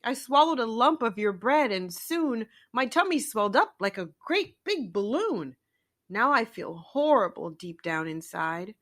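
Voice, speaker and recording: A woman's voice: fairly high pitch, sing-song, measured pace, fairly bright tone, pitched above the performer's own voice, heavily acted. A woman voicing a greedy, impatient young male goat in a children's story, whiny and exclaiming. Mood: miserable, confessing.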